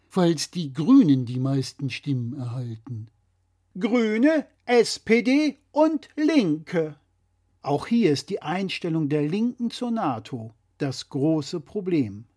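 A man's voice: a little high, expressive, takes it slowly, mellow. A man presenting a scripted political explainer in a didactic, critical way.

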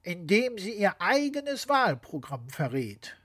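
A woman's voice: medium pitch, sing-song, slow pace, husky. A woman co-presenting a scripted explainer dialogue.

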